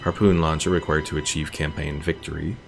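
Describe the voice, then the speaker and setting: A man's voice: rumbling low, little pitch movement, a little fast, creaky. A man livestreaming a strategy game, casually thinking aloud and chatting with his viewers as he plays. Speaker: livestreamer, host of a gaming stream. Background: game music.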